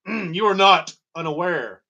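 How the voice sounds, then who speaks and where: A man telling a personal story in a halting, digressive monologue with a wry, emphatic manner. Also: high voice, gravelly, pushed and bright, rough recording. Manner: halting, digressive, wry, chuckling at grim details. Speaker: storyteller and host. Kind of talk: rambling personal monologue about own medical history.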